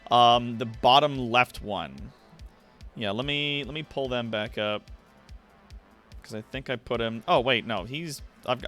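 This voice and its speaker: A man livestreaming in casual chat with viewers, thinking aloud in loose, halting phrases. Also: medium pitch, a bit bright.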